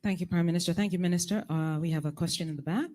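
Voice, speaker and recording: Dark, low-voiced woman moderating the press. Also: rapid-fire. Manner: brief and procedural.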